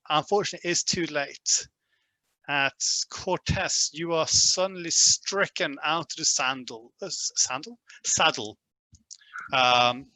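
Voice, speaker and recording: Gm guy, bright voice, lots of uhs and ums, dry close mic. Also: medium pitch, no room sound, poor audio. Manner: hesitant, many fillers. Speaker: game master. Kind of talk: narrating a tabletop game.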